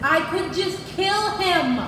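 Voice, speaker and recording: A woman's voice: very high voice, animated, slow pace, exaggerated voice. A woman playing an exasperated, blunt widowed mother who scolds her son in a comic stage reading. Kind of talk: comic readers theatre performance. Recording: echoey noisy hall, distant microphone.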